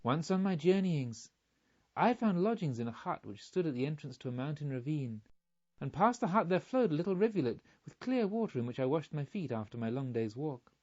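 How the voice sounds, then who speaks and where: A man's voice: a little high, big pitch swings, a little fast, darkish tone. A man reading a storybook, voicing an anxious, loving male pilgrim in earnest, formal, emphatic speech on a slightly muffled recording. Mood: wondering, recalling. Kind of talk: audiobook storytelling.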